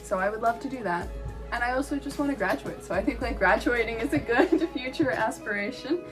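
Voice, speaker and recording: A woman's voice: a little high, lively intonation, fairly quick, over music, low-quality recording. A young woman, a co-host and art history student, chatting casually with fillers and giggles. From United States. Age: young adult. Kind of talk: casual chat. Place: indoors, a room with no light on.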